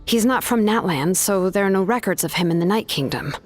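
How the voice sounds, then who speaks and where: A woman's voice: medium pitch, lively intonation, brisk, faint music behind, natural voice. A woman voicing an earnest, resolute young craftsman in a video game, sincere, polite and ready for self-sacrifice. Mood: apologetic.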